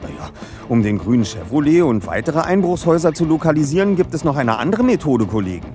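Precise, lively boy detective leader. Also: fairly high pitch, quick pace, faint music behind. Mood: businesslike. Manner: precise, bookish, matter-of-fact. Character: teenage boy detective leader, precise, self-assured, formal. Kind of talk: German audio drama acting.

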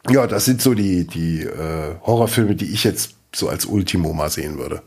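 A man in his forties co-hosting a casual podcast chat, telling stories in a lively way and laughing often. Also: low-pitched, normal speed, husky. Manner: laughs a lot, lively storytelling. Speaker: podcast co-host.

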